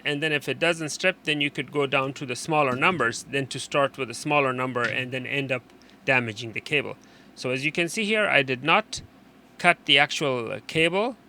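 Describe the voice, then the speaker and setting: Very bright-voiced man giving a hands-on tutorial. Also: medium pitch. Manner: halting, repeats and rephrases instructions. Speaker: technician presenting a how-to video. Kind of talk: unscripted hands-on tutorial explanation.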